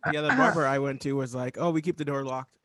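A man's voice: medium pitch, steady tone, quick pace, over a noisy crowd. A man chatting casually on a video call as other voices overlap. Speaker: podcast cast member. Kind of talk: casual chat.

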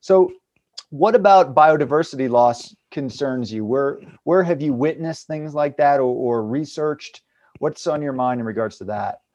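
Mellow man asking interview questions. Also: medium pitch, normal speed, lo-fi sound. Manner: encouraging, reflective. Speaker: host.

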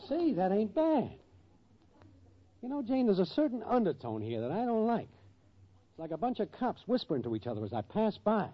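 A man's voice: high voice, huge pitch swings, normal speed, a little hiss or hum. A glib streetwise schemer in a 1940s radio comedy. He is breezy and evasive, with slangy talk that bounces up and down. The vintage recording sounds dull. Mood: glib, defensive. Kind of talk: 1940s radio sitcom acting.

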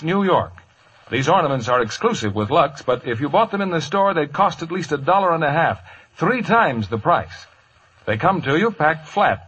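An American man works as a commercial announcer on vintage 1950s radio. His voice sits fairly high and moves with very animated intonation, with a husky edge to the tone. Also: rough recording. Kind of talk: 1950s radio advertising read.

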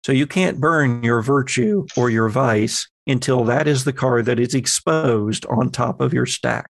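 A man's voice: mid-range voice, unhurried, gravelly. A man acting as game master, explaining the rules to his players and guiding them with questions. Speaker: game master and podcast host. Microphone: close personal microphone on a remote group call.

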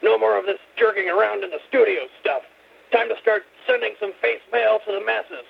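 Radio comedy boss: high-pitched, badly rasping, irate and sarcastic, heard over a telephone. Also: male voice, fairly even intonation, brisk, exaggerated voice. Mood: blustering, sardonic. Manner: bullying, sarcastic barking. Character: irate, blustering record-label boss, male, crude. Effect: telephone filter. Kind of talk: comedy radio play acting. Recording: muffled telephone-style sound.

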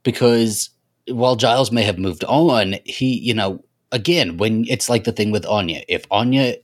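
Lower-pitched man in casual discussion. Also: moderate pace. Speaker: podcast host.

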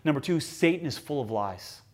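A young adult man preaching a sermon as a pastor, telling stories with emphasis and swinging between loud lines and hushed ones. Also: fairly high pitch, animated, moderate pace. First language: English.

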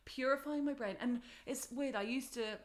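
A woman's voice: high voice, normal speed. A young woman, chatting enthusiastically as a podcast guest, often restarting her sentences. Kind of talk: casual conversation.

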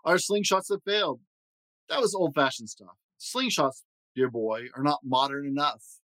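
A man's voice: fairly high pitch, lively intonation, unhurried, a bit bright, no echo. A man voicing a confident, breezy, scheming chief who reassures emphatically in rhyme for a storybook. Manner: reassuring, emphatic rhyming speech.

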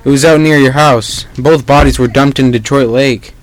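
A man's voice: mid-range voice, steady tone, moderate pace, close to an everyday voice. A man playing an earnest, sensible, matter-of-fact police officer in an amateur old-time radio drama. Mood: businesslike.

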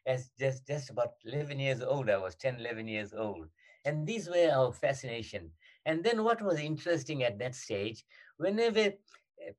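An older man with a South African accent, recalling his past in an interview, halting often with many fillers and restarts. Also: mid-range voice. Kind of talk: reminiscing in an interview.

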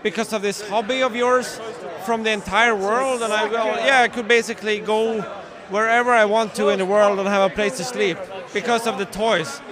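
A man from Sweden, a toy collector, chatting as a guest with some halting restarts. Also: high-pitched, steady tone, a crowd in the background. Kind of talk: casual chat. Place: busy hotel bar. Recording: crowded bar background.

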